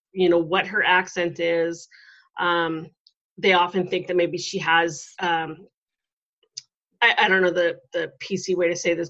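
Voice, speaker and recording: A middle-aged woman hosting an interview, talking in a relaxed, reflective way with lots of ums and you-knows. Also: lowish voice. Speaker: podcast host. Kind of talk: conversational interview. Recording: dull lo-fi audio.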